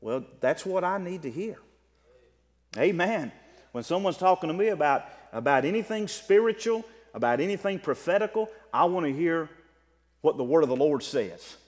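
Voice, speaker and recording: A man preaching a sermon, teaching from the Bible in an emphatic way that swings between loud and soft. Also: a little high, animated, moderate pace. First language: English. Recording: long reverb of a hall.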